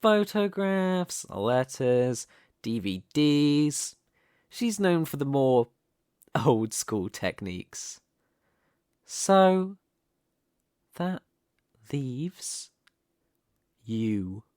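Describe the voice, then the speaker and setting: A man acts out a possessive mafia boss in an intimate audio roleplay. He speaks slowly and deliberately, taunting with silky menace and smug control. The sound is close and very dry. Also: mid-range voice, very animated, a little mellow, clear, resonant tone, no room sound. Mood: intrigued, teasing. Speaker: ASMR roleplay voice performer. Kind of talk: dramatic ASMR roleplay monologue.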